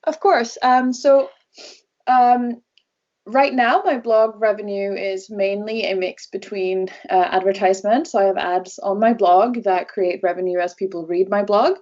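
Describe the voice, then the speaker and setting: A woman's voice: mid-range voice, steady tone, a little slow, darkish tone. Dull-sounding recording, young Norwegian-born woman. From Norway. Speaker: guest, travel blogger. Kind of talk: conversational interview answers.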